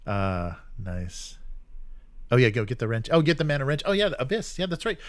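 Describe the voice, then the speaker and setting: A man's voice: mid-range voice, sing-song, a little fast, no echo. A man hosting a casual live stream, rambling and thinking aloud as he reacts to chat comments.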